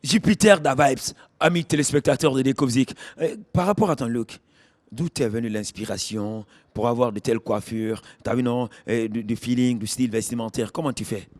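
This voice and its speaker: A man's voice: medium pitch, fairly even intonation, normal speed, a little mellow. A man with a Guinean accent hosting a TV music show, interviewing an artist and addressing viewers in declamatory phrases. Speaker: TV show host. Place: at the artist's home.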